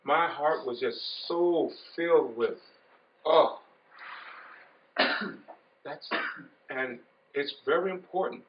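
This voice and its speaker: A man's voice: fairly high pitch, takes it slowly, long pauses, a little hiss or hum. A middle-aged man giving an earnest, repetitive informal talk to an audience of young people, growing emphatic. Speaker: speaker addressing young Muslims. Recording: echoey room, distant mic, dull sound.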